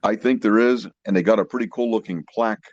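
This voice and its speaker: A man with a fairly low voice chats casually on a podcast, asking curious questions and repeating words as he goes. The sound is dry and somewhat muffled, lacking detail. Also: fairly even intonation, fast talker, darkish tone, no room sound. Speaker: podcast co-host. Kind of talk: casual chat. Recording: muffled, dull lo-fi connection.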